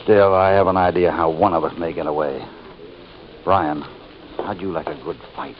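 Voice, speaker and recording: An actor playing a steady, defiant cowboy hero held prisoner, firm and measured, in an old-time radio western. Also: male voice, deep voice, close to an everyday voice. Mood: plotting, determined. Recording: dull old radio recording, faint noise.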